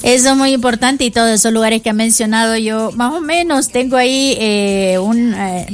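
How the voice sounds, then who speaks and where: Woman co-hosting a radio show, pitched a bit high, leads into the breaks, faint music under her. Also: moderate pace. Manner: agreeable, moderates the show. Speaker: radio co-host. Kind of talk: casual radio chat.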